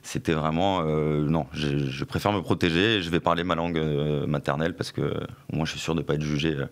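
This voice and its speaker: A man's voice: rumbling low, steady tone, fairly quick. A middle-aged man, a former club press officer, answering questions on a radio talk show with many fillers and restarts.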